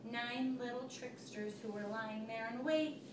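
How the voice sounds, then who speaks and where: A woman's voice: fairly high pitch, measured pace. A woman teacher reading a picture book aloud to a child, telling it expressively and stopping to ask questions. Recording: echoey room, recorded from far off, noisy.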